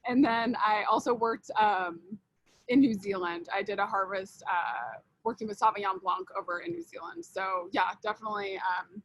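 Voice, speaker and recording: A woman's voice: medium pitch, animated, moderate pace, fairly bright tone, slight background noise, rough recording. A young woman working in winery sales, introducing herself casually and hesitantly with many fillers.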